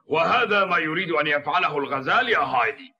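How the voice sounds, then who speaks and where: A man playing an old mountain grandfather in a dubbed cartoon, gruff but caring, patiently explaining things. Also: on the high side, lively intonation, quick pace, sharp tone, highs cut off, heavily acted. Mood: calm, advising. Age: older. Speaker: grandfather character in a cartoon dub.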